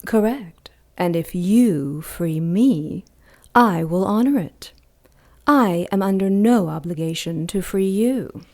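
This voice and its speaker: A woman voicing an ancient, cruel female demon who taunts slowly and with menace in an audio drama. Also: medium pitch, animated, darkish tone. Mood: taunting. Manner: slow, mocking, menacing purr.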